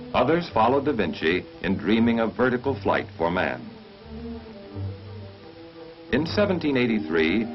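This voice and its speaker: Muffled, low-voiced vintage American male narrator. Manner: formal, measured, instructional delivery. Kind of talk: mid-20th-century military training film narration. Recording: muffled mid-century film soundtrack, music behind.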